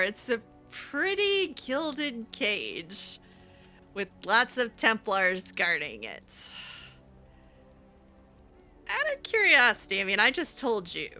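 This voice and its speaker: A woman's voice: fairly high pitch, big pitch swings, slow, sharp tone, faint music behind. A woman improvising in character over a video game, conversational and hesitant, often trailing off. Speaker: roleplay game streamer.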